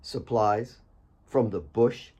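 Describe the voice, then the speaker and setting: A man's voice: lowish voice, slow, warm tone, husky. A big young mine boss in an audiobook, read by a man. He is a confident, stern man with a blunt, challenging delivery and a sardonic edge. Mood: stern grilling. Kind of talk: audiobook character dialogue.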